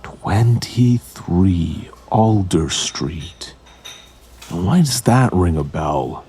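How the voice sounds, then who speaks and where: Male investigator, audio drama. Very low voice, unhurried, guarded and deliberate, some background noise. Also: lively intonation, dark tone, full character voice. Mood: uneasy, puzzled. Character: steady, guarded male investigator protecting an informant.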